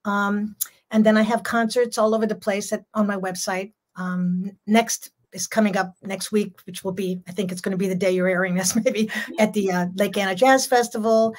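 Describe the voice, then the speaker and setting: An older woman talks in a loose, rambling way, laughing between thoughts. Her voice is strong and carries well. She is heard over a home video call. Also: medium pitch. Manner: chatty, laughs often, rambling. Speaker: jazz singer, guest. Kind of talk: casual video-call interview. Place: home room.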